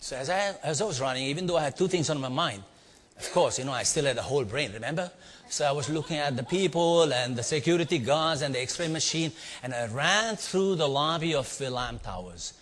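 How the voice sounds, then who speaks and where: Husky Indian man telling a story in a hall. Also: a little high, lively intonation, fairly quick, a bit bright. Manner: theatrical, with acted-out exclamations and audience questions. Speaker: speaker teaching storytelling. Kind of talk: animated storytelling talk to a live audience. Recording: long reverb of a hall.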